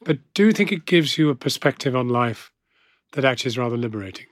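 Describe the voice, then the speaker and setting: A man's voice: mid-range voice, lively intonation, fast talker, no room sound. An older man hosting a studio interview, who asks probing questions in a formal, courteous way. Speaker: radio interviewer, host.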